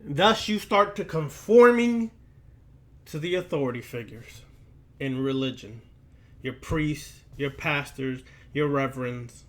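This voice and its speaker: Higher-voiced man giving an emphatic lecture. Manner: emphatic, deliberate delivery with repetition and rhetorical questions. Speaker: occult lecturer. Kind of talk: preaching-style lecture.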